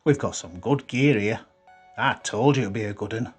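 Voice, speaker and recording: A man's voice: fairly low pitch, moderate pace, breathy edge, soft background music. Man voicing a bossy, self-satisfied lad. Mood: smug, pleased. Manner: curt, bossy.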